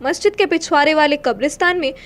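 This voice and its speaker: A woman's voice: high voice, fast talker, slight background noise. A woman narrating a literary story in a dramatic audio-play style. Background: faint music.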